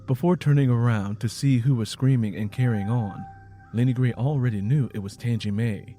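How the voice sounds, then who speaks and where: A man's voice: mid-range voice, normal speed, warm tone, faint music behind. A man reading a dramatic story as a scripted audiobook narration.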